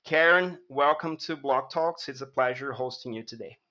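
A man's voice: medium pitch, normal speed, pushed and bright, muffled recording. A man hosting a podcast in a welcoming, upbeat manner. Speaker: podcast host. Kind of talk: podcast host introduction.

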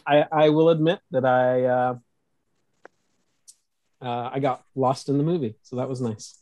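A man's voice: medium pitch, fairly even intonation, a little slow, mellow, no room sound. A man co-hosting a movie podcast, making offhand, dry remarks. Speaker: podcast co-host. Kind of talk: casual movie discussion.

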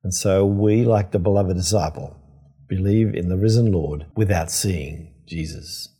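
An older man, a priest, giving a reflective scripture teaching in a measured, explanatory way with emphatic phrasing. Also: deep voice, mellow, slightly rough.

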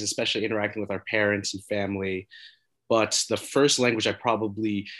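A man's voice: fairly low pitch, fairly bright tone. A man from New York City, a doctor, speaking as a guest on a podcast, eager and thoughtful. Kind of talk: podcast interview.

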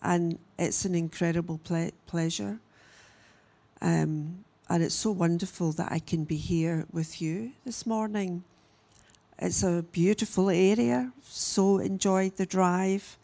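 A woman, giving a church message as a missionary and telling her story in a halting, unhurried way with frequent pauses. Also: fairly low pitch, creaky, warm tone. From Scotland. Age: 60s. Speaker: guest speaker, missionary. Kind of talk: church message, personal testimony.

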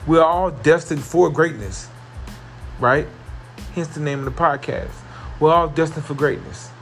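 A man hosting his own motivational podcast, his fairly high voice carrying well as he repeats his points with rising excitement. Faint music plays underneath him. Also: unhurried. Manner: earnest, repetitive, building to excited emphasis. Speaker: podcast host. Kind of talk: motivational solo podcast monologue.

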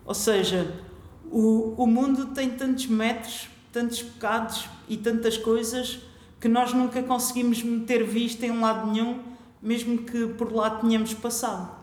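A woman from Portugal with a European Portuguese accent, a guest talking about her travels in a relaxed, thoughtful interview. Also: fairly high pitch, a little slow. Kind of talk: casual interview chat. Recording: echoey room with long reverb.

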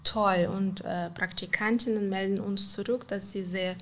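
A woman counselor, speaking German haltingly with many fillers in a casual interview. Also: medium pitch, steady tone, a bit bright. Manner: halting, many fillers and restarts, non-native grammar. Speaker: counselor at a women's support center.

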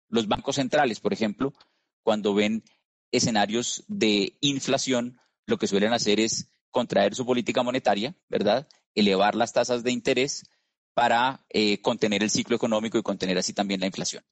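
A Colombian man giving a measured market analysis as an interview guest, with frequent "eh" fillers and restarts. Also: mid-range voice, no echo. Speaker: investment strategist guest.

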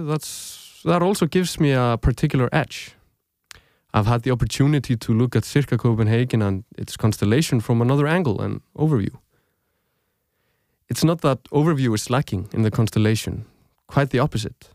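The host you would hear in earbuds on a thoughtful podcast: a young Icelandic man, native in Icelandic, telling his story at a measured pace in a dry, echo-free booth. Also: medium pitch, a little mellow. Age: young adult. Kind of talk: scripted podcast narration.

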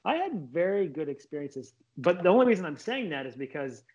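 A man's voice: a little high, big pitch swings, brisk, a little mellow. Rambling man on lo-fi audio. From Lebanon. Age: late 30s. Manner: rambling, restarts mid-sentence, self-correcting. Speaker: interview guest. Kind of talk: casual conversational interview.

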